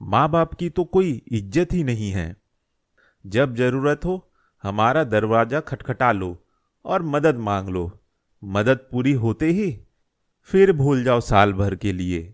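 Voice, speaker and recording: An elderly grandfather from a cartoon moral tale, acted by a man. He speaks slowly and plainly at a middling pitch. He is a hurt, grumbling shopkeeper who feels let down by his son. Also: big pitch swings. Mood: aggrieved. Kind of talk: Hindi moral-story cartoon dialogue.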